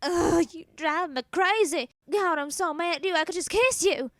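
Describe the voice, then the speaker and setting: A woman's voice: very high-pitched, moderate pace, a bit bright, dry recording, clean studio sound. A woman voicing a sassy, hot-tempered, sarcastic teenage goth girl with folksy slang in a cartoon parody dub. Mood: exasperated.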